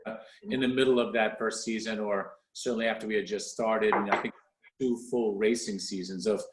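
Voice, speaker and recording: A man's voice: fairly low pitch, steady tone, a little fast, reverberant, a little hiss or hum, low-quality recording. A man hosting a podcast interview and chatting enthusiastically with his guests. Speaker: podcast host and coach. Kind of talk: casual interview chat.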